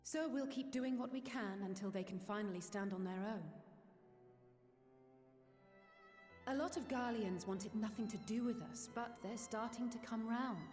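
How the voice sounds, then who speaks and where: A woman's voice: on the high side, fairly quick, few pauses, a little mellow, lightly acted. An actress voicing a frank, wry and determined young woman adventurer in a video game. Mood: earnest. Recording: reverberant hall with music behind.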